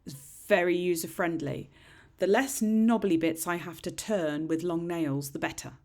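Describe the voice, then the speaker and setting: A middle-aged woman with a husky, slightly croaky voice, chatting casually through a nail tutorial in a rambling, self-deprecating way. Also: lowish voice, steady tone, moderate pace, a little mellow. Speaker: nail technician. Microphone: desk microphone.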